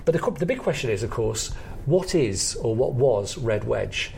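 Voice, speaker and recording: A man's voice: medium pitch, very animated, normal speed, vocal fry, darkish tone, a little hiss or hum. A British man hosting a podcast in a conversational, explanatory style. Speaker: podcast host, comedy academic.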